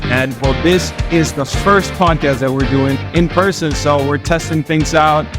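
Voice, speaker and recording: A man's voice: fairly high pitch, fairly even intonation, a little slow, over music. A man hosting an in-person podcast interview, effusive and enthusiastic, slipping in the odd Spanish phrase, speaking into a handheld mic. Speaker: podcast host.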